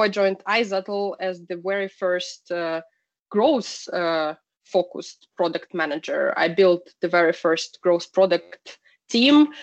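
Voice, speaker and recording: A woman from Russia, a podcast guest introducing her product work in casual chat with frequent fillers. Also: lowish voice. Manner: speaks with frequent fillers, slightly non-native grammar. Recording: dull lo-fi call audio.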